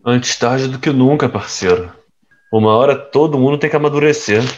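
An actor playing a brash, cocky young rich playboy in an audio drama, with slangy, teasing speech. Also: male voice, mid-range voice, normal speed, lo-fi sound, close to an everyday voice. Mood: pensive.

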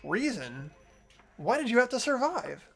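A man's voice: very high-pitched, very animated, unhurried, gravelly, fairly bright tone, breathy edge, soft background music, voice pushed up, heavily acted. A man voicing an earnest, determined teenage boy in a visual novel game, with emphatic delivery. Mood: earnest, concerned.

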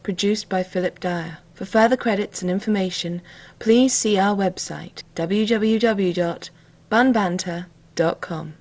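A woman's voice: mid-range voice, measured pace, darkish tone. A woman announcer reading scripted credits for an audio drama.

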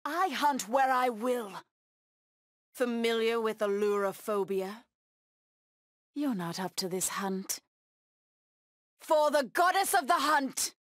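An actress voicing a fierce, bloodthirsty feline huntress in a video game, with a menacing, haughty delivery. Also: female voice, fairly high pitch, animated, slow pace, pauses often, no room sound, clean studio sound. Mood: fierce, exultant. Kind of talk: video game voice acting, short combat taunts.

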